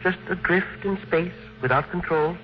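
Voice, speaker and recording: An actor playing a puny, polite but stubborn engineer who is secretly a Martian, in 1950s radio drama style. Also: male voice, sits high, normal speed, natural voice. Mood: defiant, quiet. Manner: polite, hesitant, quietly firm. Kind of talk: 1950s American radio acting. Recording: dull, noisy vintage radio recording.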